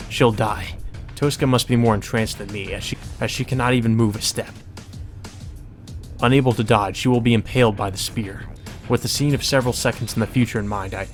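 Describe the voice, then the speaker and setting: A man reading visual novel narration aloud as a let's play, mixed with casual, sweary commentary. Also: mid-range voice.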